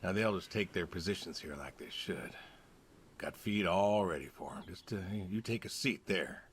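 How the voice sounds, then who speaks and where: An actor playing a gentle, plainspoken older farmer in a video game, with a laconic, dry manner. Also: male voice, sits low, moderate pace, a little hiss or hum, close to an everyday voice. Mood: patient. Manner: laconic, dry, folksy, understated.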